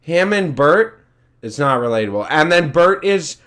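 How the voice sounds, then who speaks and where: A man's voice: on the high side, expressive, moderate pace, fairly bright tone. Blunt, opinionated man co-hosting a podcast. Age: around 30. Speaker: podcast co-host. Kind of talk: casual chat.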